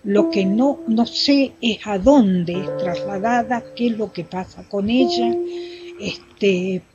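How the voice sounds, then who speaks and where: A woman testifies very slowly, hesitating and searching for her words. The sound is dull and low fidelity, with music clearly behind her. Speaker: witness. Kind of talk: courtroom testimony. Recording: dull, lo-fi archive recording.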